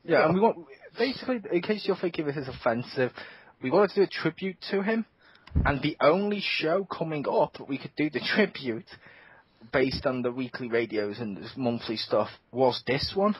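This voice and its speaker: A man hosting a casual gaming podcast, animated and swearing freely with quick asides. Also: on the high side, slightly rough. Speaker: podcast host. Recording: dull lo-fi dry sound.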